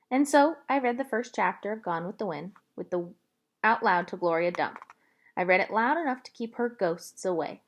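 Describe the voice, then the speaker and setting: Woman reading a story with lively pitch. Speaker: reader of a children's novel. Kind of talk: read-aloud storytelling with voiced dialogue.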